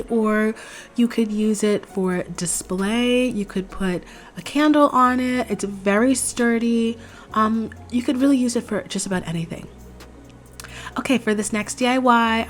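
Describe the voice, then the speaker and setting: A young woman hosting a DIY craft video, explaining each step in a chatty, relaxed way, her voice a little hoarse from a cold. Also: a little high. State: slightly hoarse, recovering from a cold. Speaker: DIY craft channel host. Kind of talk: casual step-by-step craft tutorial voice-over. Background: faint background music.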